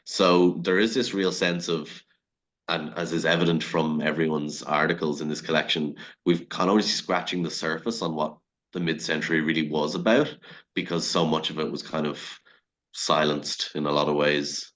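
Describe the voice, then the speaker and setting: Very deep-voiced man, flat academic delivery. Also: normal speed. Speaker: academic panel speaker. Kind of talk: academic discussion, speaking off the cuff.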